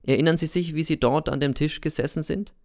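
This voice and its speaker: A man's voice: a little high, a little fast, a little mellow, voice pushed up, close to an everyday voice. A man reading aloud and voicing a sly, secretive older man who talks with urgent, insinuating eagerness. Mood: confiding.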